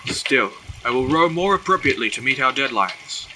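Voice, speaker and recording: A man's voice: medium pitch, animated, moderate pace, bright, forceful tone, a little hiss or hum, rough recording. An actor playing a deferential, earnest young oarsman in an audio drama, speaking formally and dutifully. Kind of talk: period audio drama acting.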